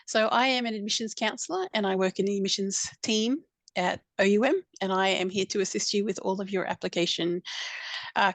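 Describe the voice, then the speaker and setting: Gravelly-voiced woman, dry close sound. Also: mid-range voice, dry recording. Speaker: admissions counsellor. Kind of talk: explaining admission requirements. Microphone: video call.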